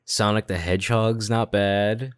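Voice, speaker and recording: A man's voice: on the low side, steady tone, a little slow, clear, resonant tone, no echo, clean studio sound. A man co-hosting a podcast, giving his opinions in a casual, easygoing and informal way. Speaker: podcast co-host.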